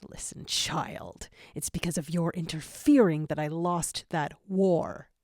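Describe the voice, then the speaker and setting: A woman's voice: deep voice, fairly even intonation, a little slow, a little mellow, no room sound, studio quality. A woman voicing a brooding, bitter male jackal squad leader with a curt, disinterested manner. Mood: bitter, dismissive.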